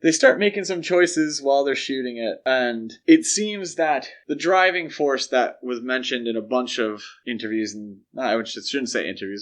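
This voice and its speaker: A man co-hosting a podcast, casually discussing films in a conversational, explanatory way. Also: medium pitch. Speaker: podcast co-host.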